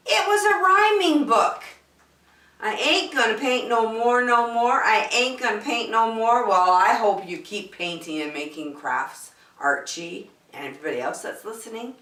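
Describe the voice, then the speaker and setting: Slow, animated woman reading to children, echoey. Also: a little high. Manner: slow, animated delivery addressed to young children. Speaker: children's storytime host and reader. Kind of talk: sing-song picture book read-aloud for children. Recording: roomy echo, distant microphone.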